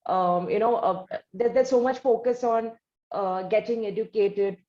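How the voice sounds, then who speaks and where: An Indian-accented woman speaks as the host of an interview, at a middle pitch. She builds her questions slowly and wordily, often rephrasing as she goes. The sound is roomy and echoey, with slightly dulled audio. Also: fairly even intonation, warm tone, muffled recording.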